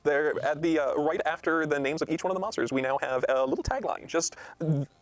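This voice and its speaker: A man talking as a game designer in an explanatory discussion of a game book, animated and acting out readers' reactions. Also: fairly high pitch, fairly quick. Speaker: game designer being interviewed.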